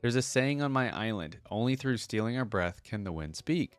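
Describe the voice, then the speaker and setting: A man's voice: fairly low pitch, animated, normal speed, clean tone, no echo, soft background music, lightly acted. A man voicing a resolute, cool-headed woman who hunts wraiths in a fantasy story.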